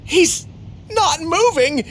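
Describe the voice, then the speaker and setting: Very high, excitable tournament referee. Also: male voice, full character voice. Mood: flustered, excited. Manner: loud, drawn-out announcing. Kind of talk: animated game voice acting. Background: music.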